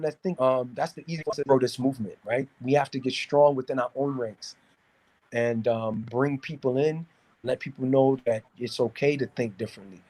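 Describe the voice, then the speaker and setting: A man from New City, talking casually and giving opinions in a halting way, repeating words as he searches for phrasing. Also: medium pitch, darkish tone, poor audio. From New York City, United States. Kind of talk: casual discussion, opinionated talk.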